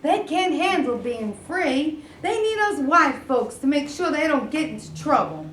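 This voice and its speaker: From across an echoey room comes a woman acting as a hostile young Civil War private in a stage reading. The voice is high, and the talk is folksy, griping and aggressive. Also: expressive, measured pace, a bit bright. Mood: scornful. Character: hostile bigoted teenage boy, Confederate private. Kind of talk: amateur staged play reading. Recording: roomy, distant, faint background noise.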